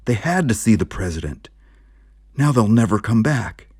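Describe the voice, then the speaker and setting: A man voicing an excitable, exasperated character in an audiobook, pleading and yelling in an agitated way. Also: normal speed, husky, dry recording, studio quality. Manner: agitated, high-strung yelling.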